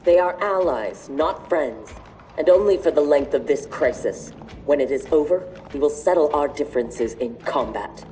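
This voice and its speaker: Hard futuristic soldier woman, deep, clipped, formal, video game cutscene, music behind. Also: expressive, normal speed, mellow, low-quality recording. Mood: cold, defiant.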